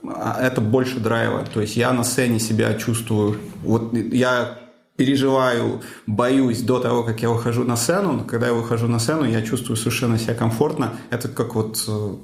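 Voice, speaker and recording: Guy talking through his process, gravelly, lots of ums, echoey room. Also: mid-range voice, fairly even intonation. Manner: thoughtful, many fillers and pauses. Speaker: conference speaker, cloud architect. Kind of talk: casual interview answers.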